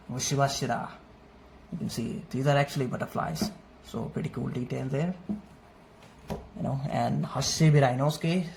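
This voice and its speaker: A man whose first language is not English, casually showing his manga collection in an unhurried, friendly way and dropping Japanese terms into his English. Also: mid-range voice, vocal fry, darkish tone. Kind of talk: casual show-and-tell overview. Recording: faint noisy room ambience. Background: faint background noise.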